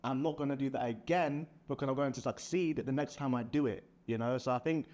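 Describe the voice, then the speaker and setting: A man's voice: medium pitch, a little fast, darkish tone. A young man in a casual business chat, talking in a motivational way with lots of "like" and "man". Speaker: sales partner.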